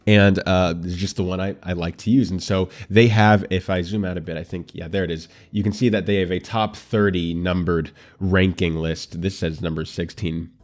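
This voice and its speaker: A man's voice: low voice, fairly even intonation, moderate pace, hardly any pauses, faint music behind. A man hosting a casual anime commentary show, congested and nasal from allergies, with a dry throat, chatting straight to his viewers. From United States.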